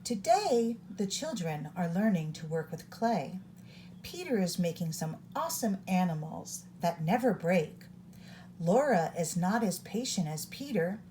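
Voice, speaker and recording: Slow, expressive woman reading a storybook. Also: lowish voice, a little hiss or hum. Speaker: storyteller reading a children's book aloud. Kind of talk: read-along storybook narration for young children.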